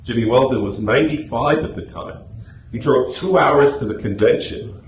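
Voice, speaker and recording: A man's voice: medium pitch, moderate pace. A man giving a chatty, anecdotal, informal presentation to a club meeting. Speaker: club presenter and radio script writer. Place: meeting room, speaking to a small audience. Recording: echoey room with faint noise.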